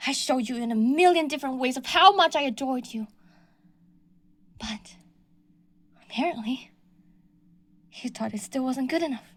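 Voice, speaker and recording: Woman voicing a delusional yandere stalker, fairly high, sugary menace with hushed whispers. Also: normal speed, pauses often. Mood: wounded. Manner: sweetly menacing, slips into whispers. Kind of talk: audio roleplay.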